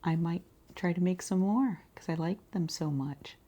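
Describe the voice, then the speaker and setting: A woman doing a quiet ASMR roleplay as a shopkeeper, speaking softly and breathily in an unhurried way, often slipping into a whisper. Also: on the low side, slight background noise. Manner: unhurried, gentle pauses, murmured acknowledgements.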